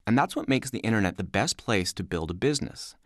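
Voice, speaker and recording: Male narrator for an explainer voice-over: lively, varied intonation, emphatic delivery, very dry close recording. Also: lowish voice, fast talker, no room sound. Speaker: narrator and instructor. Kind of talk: scripted instructional narration, voice-over demo.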